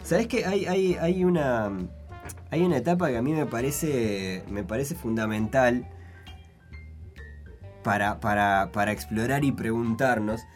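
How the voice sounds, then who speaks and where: A man's voice: medium pitch, unhurried, faint music behind. A man, speaking in a casual chat, thinking aloud with many restarts. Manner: reflective, hesitant, many restarts.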